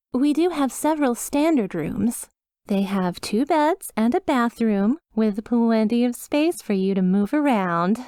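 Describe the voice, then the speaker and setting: A woman's voice: medium pitch, warm tone, no echo, clean studio sound, lightly acted. A woman voicing a chipper, sweetly reassuring demon resort receptionist with a polished, over-polite customer service voice in a scripted ASMR roleplay.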